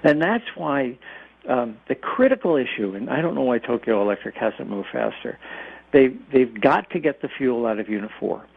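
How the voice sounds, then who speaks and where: A mature American man explains things in a plain, folksy way as a radio guest. His voice is medium in pitch with a gravelly fry. It comes through a narrow, tinny phone line. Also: expressive, normal speed. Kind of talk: conversational interview answers.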